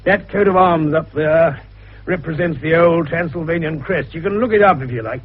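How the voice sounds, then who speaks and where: A man's voice: a little high, moderate pace, breathy edge. A man playing a jovial, shrewd older British doctor turned detective in 1950s radio drama, avuncular, folksy and teasing. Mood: explaining triumphantly. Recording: dull vintage radio recording.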